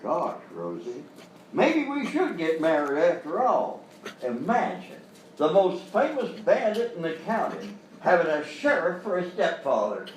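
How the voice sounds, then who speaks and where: An actor playing an excitable comic supporting man in a live radio-style sketch. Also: on the high side, a little slow, slight background noise. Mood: surprised. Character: excitable male sidekick, suitor and cuckolded police chief. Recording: distant, echoey live stage recording.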